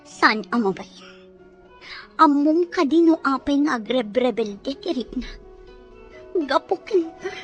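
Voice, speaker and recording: A woman acting an imperious, bitter, jealous wife in a melodrama, with a Filipino accent and a sharp, demanding manner. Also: high voice, lively intonation, slow, pauses often, soft background music. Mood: bitter, pleading.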